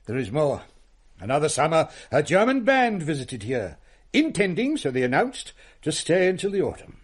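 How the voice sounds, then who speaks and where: A middle-aged Victorian English uncle in a radio ghost play. His voice sits a little high and swings dramatically up and down. He speaks grandly, with jovial, theatrical relish. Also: huge pitch swings, moderate pace, breathy edge, heavily acted. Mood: relishing, ominous. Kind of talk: melodramatic radio drama storytelling.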